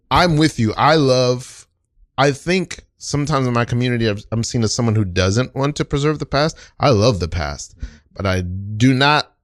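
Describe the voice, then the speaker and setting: A man in casual podcast conversation, his voice fairly low and recorded dry. He talks reflectively, circling back over his own phrases as he goes. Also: no echo.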